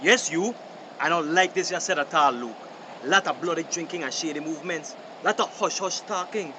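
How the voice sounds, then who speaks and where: Casting: male actor for grumbling Belizean Creole fisherman, indignant, higher-pitched voice, clear noise behind him. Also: normal speed, lightly acted. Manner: complaining, indignant. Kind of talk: radio drama dialogue in dialect. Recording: drama over clear vehicle-like background noise.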